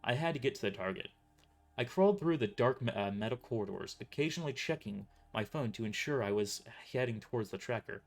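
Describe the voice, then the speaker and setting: A man's voice: mid-range voice, expressive, fairly quick. Man narrating a story over music. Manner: stumbles over words while reading. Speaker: author narrating own novel. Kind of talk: first-person dramatic story narration.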